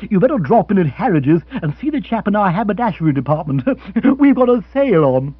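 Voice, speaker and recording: A man voicing a hearty, loud, joking upper-class man in an audiobook, with a husky voice and a booming, chuckling delivery. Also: very animated, a little fast, no room sound, exaggerated voice. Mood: jovial. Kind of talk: audiobook character voice. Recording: dull, dry, slightly lo-fi recording.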